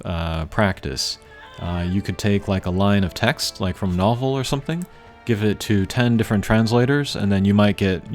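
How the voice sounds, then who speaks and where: A man giving casual, unscripted gameplay commentary as a channel host, thinking aloud in a measured way. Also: fairly low pitch. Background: game music.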